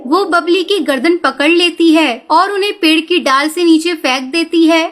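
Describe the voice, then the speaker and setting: A woman's voice: very high voice, fairly bright tone. A woman narrating a scripted cartoon story as its storyteller.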